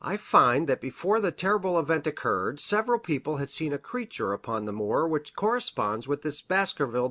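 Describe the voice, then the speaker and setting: A woman's voice: very deep voice, a bit bright, heavily acted. A woman voicing a grave, hesitant male country doctor in a storybook reading, formal and precise in manner. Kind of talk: audiobook character reading.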